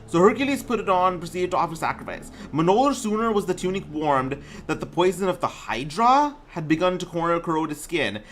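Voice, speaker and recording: A man's voice: high voice, animated, brisk, hardly any pauses, a bit bright, a little hiss or hum. A man streaming a game, reading aloud and commenting casually, often stumbling over words and repeating himself.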